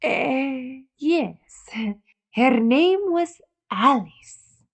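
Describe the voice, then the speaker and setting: A woman's voice: sits high, expressive, drawn-out words, slightly rough, heavily acted. A woman playing a solemn, theatrical phony psychic with a grandiose, mystical delivery in a comedy audio drama. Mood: solemn, mysterious.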